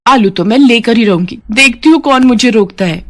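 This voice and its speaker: A woman's voice: on the high side, fairly quick, close to an everyday voice. A woman voicing a proud working daughter-in-law, indignant and childishly argumentative, in a family story.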